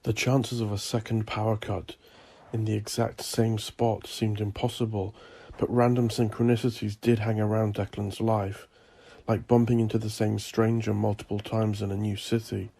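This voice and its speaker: A man reading dark fantasy horror as a scripted story, with a measured, deliberate delivery. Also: on the low side, level tone, vocal fry, slightly rough. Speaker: audiobook narrator.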